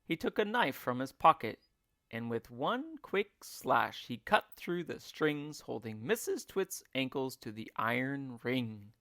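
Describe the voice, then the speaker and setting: A man narrates a children's storybook with theatrical expression. His pitch moves widely while the pace stays slow and measured. The sound is dry and close. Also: very animated, sharp tone, no echo. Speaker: teacher reading a children's book aloud.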